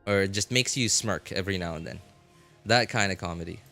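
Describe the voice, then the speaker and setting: A man streaming a game live, chatting casually and haltingly as he thinks aloud. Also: low-pitched, bright, forceful tone. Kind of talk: casual rambling livestream chat. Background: music.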